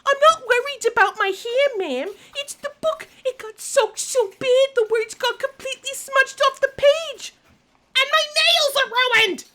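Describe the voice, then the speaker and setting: Squeaky-high, vain, gushing receptionist. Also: female voice, unhurried, bright, forceful tone, exaggerated voice. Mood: dismayed. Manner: theatrical, overexcited. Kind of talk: audio drama comedy acting.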